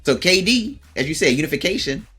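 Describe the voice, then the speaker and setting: A man's voice: fairly high pitch, big pitch swings, brisk, pushed and bright, slightly rough, soft background music. A man co-hosting a podcast in a casual chat, often acting out what others might say. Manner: acts out quoted lines, interjects agreement. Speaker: podcast host.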